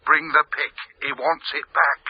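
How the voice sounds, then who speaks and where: Telephone voice, furtive working man, husky, hesitant, colloquial, dull muffled sound, radio drama. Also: fairly high pitch, flat delivery, moderate pace. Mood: furtive, urgent.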